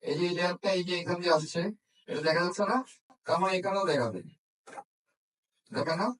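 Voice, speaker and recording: A man's voice: high-pitched, brisk, a bit bright, slight background noise. A man teaching a hands-on repair class, often checking understanding with questions. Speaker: phone repair instructor. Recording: echoey room, distant microphone.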